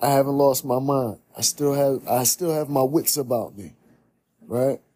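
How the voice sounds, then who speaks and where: Young male host, solo monologue: earnest, preachy, husky and mellow. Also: mid-range voice, measured pace. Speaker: podcast host. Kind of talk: reflective monologue. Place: small room indoors.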